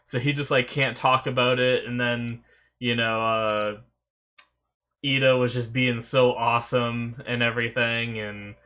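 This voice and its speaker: Young male reaction host, sniffly after being sick, flat rambling delivery, bright tone. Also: medium pitch, a little slow, clean tone. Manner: rambling, many fillers and restarts. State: recovering from a sore throat, sniffly. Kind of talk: casual reaction commentary.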